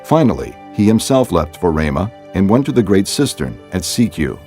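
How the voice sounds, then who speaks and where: A man narrates a scripture story in a very low, mellow voice. His delivery is measured and scripted, like audiobook storytelling, with faint music behind him. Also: big pitch swings.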